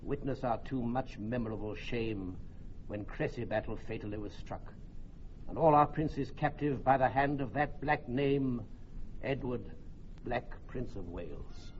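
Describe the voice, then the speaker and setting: A man's voice: medium pitch, a little slow, a little mellow, lightly acted. An actor playing a grave, wary French king, stately and solemn, in a classical radio drama. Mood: grave warning. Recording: dull, faintly noisy old radio recording.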